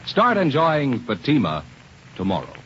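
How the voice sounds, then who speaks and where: Old timey radio ad guy, super animated and emphatic, polished pitch, dull recording. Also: unhurried. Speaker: commercial announcer. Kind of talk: 1950s radio advertising pitch. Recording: dull, faintly noisy vintage radio recording.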